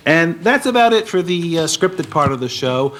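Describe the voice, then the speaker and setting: A man with a slightly high-pitched voice hosts an informal panel. He introduces guests in a halting, hesitant manner, feeling his way through each phrase. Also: animated, a bit bright, a little hiss or hum. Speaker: panel host. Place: convention panel room.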